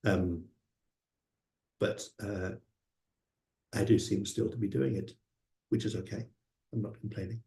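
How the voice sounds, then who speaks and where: Deep, mellow man in an echoey room. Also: fairly even intonation, a little slow, creaky, pauses often. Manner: hesitant, many fillers and restarts. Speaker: panelist translator. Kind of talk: reflective panel talk.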